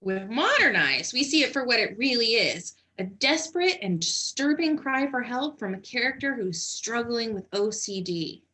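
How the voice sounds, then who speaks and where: A woman's voice: on the high side, very animated, moderate pace, few pauses, sharp tone, low-quality recording. A woman giving sassy comedic commentary. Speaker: comic commentator.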